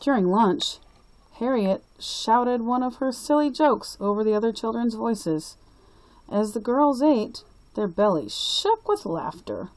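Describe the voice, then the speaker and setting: A woman reading a children's story aloud as a storyteller, with deliberate, expressive phrasing. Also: a little high, slight background noise, low-quality recording. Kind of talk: expressive picture-book read-aloud.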